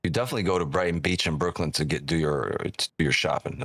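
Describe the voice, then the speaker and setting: Very deep-voiced man talking trading live. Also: quick pace, fairly bright tone, slightly rough, dry recording, clean studio sound. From Ukraine. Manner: casual and profane, keeps asking "right?". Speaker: day-trading streamer. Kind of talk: live-stream trading commentary, reading chat aloud.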